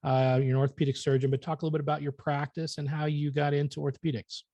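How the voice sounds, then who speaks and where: A man's voice: on the high side, little pitch movement, fast, dark tone, dry recording. A man hosting a podcast, chatting casually as he introduces and interviews his guest. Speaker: podcast host.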